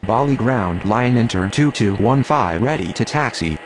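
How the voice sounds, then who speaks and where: Against steady engine noise, a man acts a calm male air traffic voice on the low side. He delivers scripted aviation calls in a clipped, matter-of-fact way, as in a simulation game. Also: normal speed, natural voice. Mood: calm, procedural. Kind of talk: scripted aviation radio phraseology.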